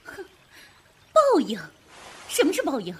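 A woman's voice: high voice, huge pitch swings, unhurried, a little mellow, exaggerated voice. A woman playing a superstitious, jumpy, outspoken grave robber in a wartime audio drama. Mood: bitter. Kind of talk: Chinese audio drama acting.

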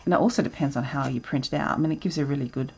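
A woman's voice: a bass voice, very fast, darkish tone, slightly rough. A woman teaching a live drawing session from her desk at home, talking casually and rambling with lots of ums. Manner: chatty, rambling, self-interrupting with ums. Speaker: art instructor. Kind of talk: casual live draw-along chat.

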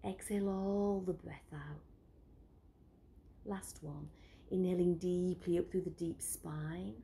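A woman leading a guided breathing meditation, giving slow, soothing instructions that often drop to a whisper. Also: fairly low pitch, fairly even intonation, creaky, mellow, slight background noise.

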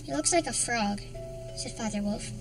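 An actress playing a young woman who reads a jungle story aloud, lively with the animal voices, and recalls her childhood. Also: on the high side, normal speed, close to an everyday voice. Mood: storytelling. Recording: film audio from a distance, music behind.

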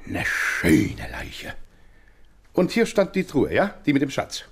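Blustering, smug police sergeant, lively swings. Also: male voice, on the high side, slow, slightly rough, exaggerated voice. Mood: brisk, smug. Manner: pompous, jovial, officious. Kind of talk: German audio drama acting.

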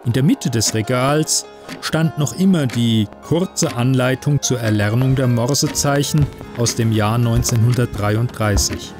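Male short-story narrator for a podcast, measured delivery, mid-range voice, noticeable music bed. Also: mid-range voice. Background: music under the voice.

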